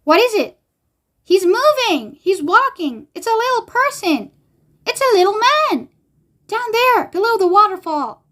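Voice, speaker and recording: A woman puts on a very high, shrill little girl from a storybook. She is frantic and insistent, shrieking in short bursts. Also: sing-song, unhurried, fairly bright tone, exaggerated voice. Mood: frantic excitement. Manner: screaming, breathless exclamations.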